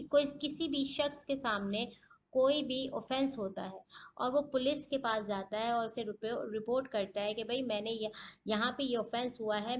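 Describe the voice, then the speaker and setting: High-voiced Pakistani woman lecturing. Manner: didactic, poses questions then answers them. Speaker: law lecturer. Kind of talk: explanatory lecture, switching between Urdu and English legal terms.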